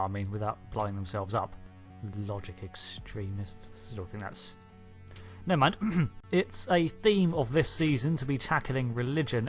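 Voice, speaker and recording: A man with a nasal, croaky voice and a sore throat, chatting unscripted as he reviews a trailer and thinks aloud with little asides. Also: mid-range voice, fairly bright tone. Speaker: video host reviewing a trailer. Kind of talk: unscripted casual commentary.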